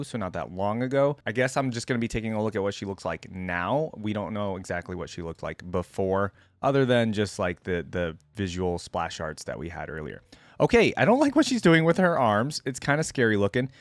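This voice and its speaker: A man's voice: fairly low pitch, big pitch swings, brisk, no room sound. A man giving casual, easygoing reaction commentary as a video host, rambling and thinking aloud.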